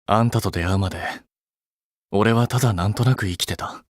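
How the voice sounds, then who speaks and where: A man's voice: fairly low pitch, brisk, dry recording, studio quality, lightly acted. A man playing an earnest, blunt young male idol in a Japanese mobile game, with a restrained way of talking. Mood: solemn, tender.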